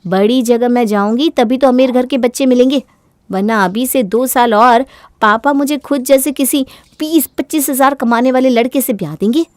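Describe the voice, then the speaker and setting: Narrator putting on a brash, high-voiced young daughter. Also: female voice, normal speed, a little mellow, no echo, clean studio sound, lightly acted. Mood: defiant. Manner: defiant, dismissive. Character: brash, selfish young woman talking back to her mother.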